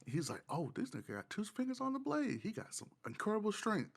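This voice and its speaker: A man's voice: sits high, wildly swooping pitch, brisk, creaky. A man co-hosting a casual podcast, telling stories in a joking, slangy and profane way. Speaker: podcast co-host. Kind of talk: casual banter.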